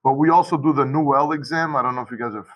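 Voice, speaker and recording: A man who teaches high school Portuguese, talking casually and at length on a video call from his school, with many restarts and asides. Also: fast, dull audio.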